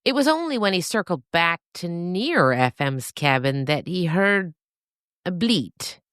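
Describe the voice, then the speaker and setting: A woman reading a scripted story as narrator, with dramatic, suspenseful and deliberate phrasing. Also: lowish voice, very animated, a bit bright, clean tone, no room sound, studio quality.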